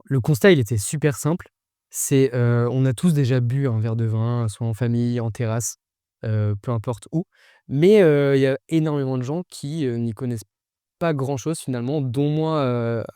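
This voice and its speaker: A young man speaks in a relaxed, plain-spoken way during a casual podcast. His voice is smooth and mellow. The sound is close and dry. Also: mid-range voice, no echo. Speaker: association founder.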